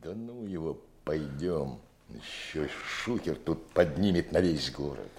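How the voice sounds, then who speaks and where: Gruff slangy tough guy, menacing n needling, soviet radio play, swingy intonation, drawn out slow. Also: a bass voice, expressive, a little mellow, exaggerated voice. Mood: sullen. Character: menacing, slow-talking tough pretending to be crazy. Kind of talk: Soviet radio drama acting.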